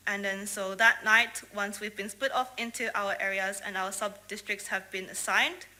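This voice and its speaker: Young woman from australia, super bright voice, earnest church testimony, lots of ums. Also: mid-range voice. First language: English. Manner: earnest, with frequent "um" and "like" fillers. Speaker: church member sharing a mission trip testimony. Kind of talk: prepared testimony talk to a congregation.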